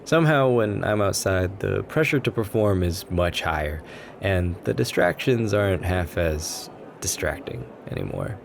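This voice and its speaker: A man plays a bashful, kind-hearted writer making nervous small talk in an ASMR roleplay. His voice is low and soft, with an earnest, rambling delivery. Noticeable coffee shop ambience sits behind him. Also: big pitch swings, unhurried, gravelly, slight background noise, heavily acted. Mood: chatty, warm. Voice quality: gentle, soft-spoken. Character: shy, sweet, nervous writer chatting up a café stranger.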